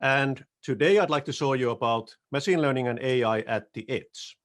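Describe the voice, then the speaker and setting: A middle-aged man from Finland with a Finnish accent, presenting a technical talk over a video call in a relaxed, self-deprecating way. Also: mid-range voice, moderate pace. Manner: relaxed, self-deprecating, occasional restarts and throat clearing. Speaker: solutions architect presenting. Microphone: headset on video call.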